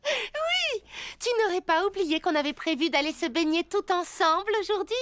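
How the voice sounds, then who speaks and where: A woman voicing an outspoken, teasing young girl with a frank, expressive manner in a French-dubbed children's cartoon. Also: very high-pitched, moderate pace, no echo. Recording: music behind voice.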